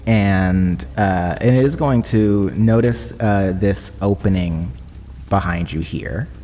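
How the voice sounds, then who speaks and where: A man narrating a tabletop game as game master, in halting, suspenseful descriptions. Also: low voice, dark tone, slight background noise. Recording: long hall reverb, background music. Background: music.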